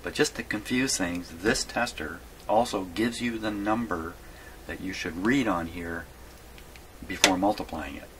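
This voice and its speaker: Guy with a low voice kinda muttering thru a hands-on demo in his little shop, pretty noisy background. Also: unhurried. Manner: rambling, self-questioning, mutters to himself. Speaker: presenter of radio repair videos. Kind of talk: casual hands-on demonstration, thinking aloud. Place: small home workshop. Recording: clearly noisy small workshop recording.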